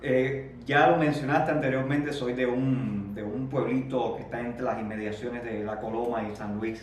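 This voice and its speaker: Man, 30s, Cuban accent, halting repeated words, distant mic, very echoey room. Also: mid-range voice, fairly even intonation, slight background noise. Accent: Cuban Spanish. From Cuba. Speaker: interview guest. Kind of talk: reflective interview conversation.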